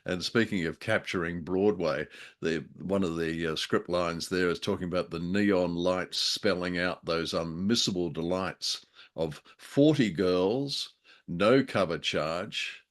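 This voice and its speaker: A man's voice: low voice, measured pace. A man co-hosting a casual review show, feeling his way through sentences with hesitant phrasing and wry asides. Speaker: podcast co-host reviewing old radio plays.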